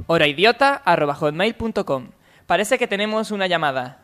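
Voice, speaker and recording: A man's voice: sits high, animated, a little fast, heavy background noise. A man with an accent from Spain hosting a comedy call-in radio show. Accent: Spanish (Spain). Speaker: radio host.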